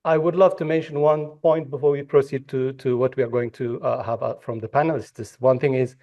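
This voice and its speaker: A man's voice: medium pitch, fairly even intonation, a little fast, warm tone, muffled recording. A man from Ethiopia moderating a conference panel, speaking with frequent fillers and restarts. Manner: hesitant, many fillers and restarts. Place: conference hall.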